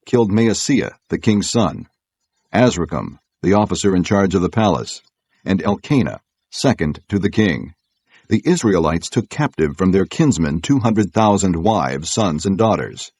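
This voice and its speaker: Deep, husky male scripture narrator. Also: very animated, darkish tone, dry recording. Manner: solemn, measured storytelling.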